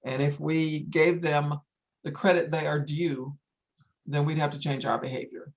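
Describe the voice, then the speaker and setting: A woman's voice: deep voice, level tone, normal speed, lo-fi sound. Older lady talking earnestly off the cuff, really emphatic, says things twice to drive them home. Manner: emphatic, repeats phrases for stress. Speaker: wildlife sanctuary founder hosting a story time. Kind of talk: earnest informal talk with audience.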